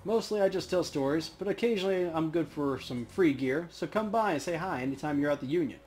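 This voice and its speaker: A man's voice: fairly high pitch, lively intonation, fast, darkish tone, lightly acted. Man reading as grizzled old ghost, video game character, wry rambling storyteller, faint noise behind. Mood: helpful.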